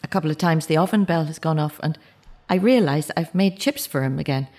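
A middle-aged mother worn fragile by grief. Her voice sits a little low, and she speaks haltingly, correcting herself as she confides. It is naturalistic radio drama. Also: darkish tone. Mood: grieving, restrained. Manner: halting, self-correcting, confessional. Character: grieving mother of a murdered teenage son, fragile. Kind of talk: naturalistic audio drama acting.

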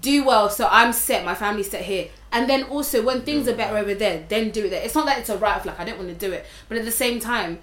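A woman's voice: medium pitch, fast talker, few pauses, a bit bright. Zimbabwe-born woman with an English accent. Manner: candid, storytelling, lots of restarts. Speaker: podcast guest. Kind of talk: casual chat. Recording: roomy room echo.

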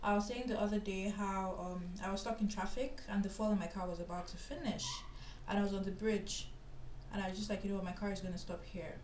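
A woman from Nigeria telling a story in casual, animated chat, with lots of "like" and "you know". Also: mid-range voice, quick pace. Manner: chatty, animated, filler-heavy storytelling. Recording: roomy echo with faint background hiss.

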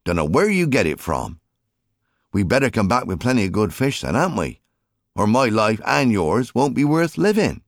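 A gruff, fond fisherman father, played by a male narrator in an audiobook. The voice is low but animated. He grumbles and frets in short, homely phrases. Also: normal speed, no room sound, clean studio sound. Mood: amused scolding. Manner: grumbling, colloquial, clipped phrases.

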